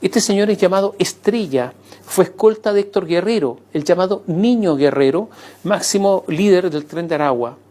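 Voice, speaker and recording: A man answering in an interview, conversational and animated, acting out quoted voices as he recounts events. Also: high-pitched, normal speed.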